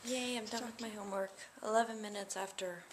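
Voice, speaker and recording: A woman's voice: mid-range voice, steady tone, a little fast, a little hiss or hum. A young adult woman chatting casually to camera in a diary-style vlog, rambling with lots of fillers. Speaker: vlogger.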